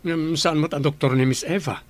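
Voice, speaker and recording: A man's voice: on the high side, fairly even intonation, fairly quick, lightly acted. A man playing a worried father and boss who questions patiently, in a radio drama. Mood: concerned.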